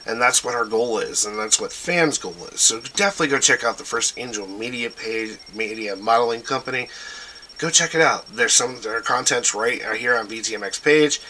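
A man's voice: mid-range voice, moderate pace, bright, forceful tone, slight background noise, poor audio. A man hosting a casual livestream show, rambling as he urges listeners to check out pages and artists. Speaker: show host. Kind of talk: casual livestream shout-outs.

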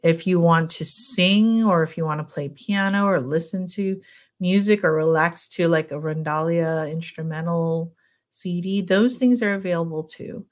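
A middle-aged woman psychologist speaking as a podcast guest, gentle and chatty, with frequent fillers and easy laughter. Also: lowish voice, normal speed. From United States. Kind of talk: casual podcast interview.

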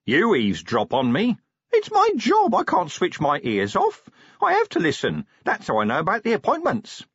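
A man's voice: high voice, wildly swooping pitch, normal speed, fairly bright tone, slightly rough, dry recording, dull audio, pitched above the performer's own voice, full character voice. A man voicing a small, chirpy imp from a gadget in a storybook, eager to please, pleading and officious. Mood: earnest. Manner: pleading, officious, recites like a machine.

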